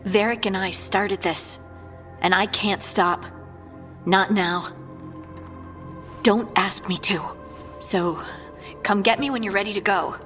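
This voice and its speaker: A woman's voice: medium pitch, fairly even intonation, slow pace, pauses often, a bit bright, long reverb tail, soft background music. A woman playing a restless, driven, blunt fighter in a fantasy video game, speaking in clipped, insistent phrases. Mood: stubborn. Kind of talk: video game cutscene dialogue.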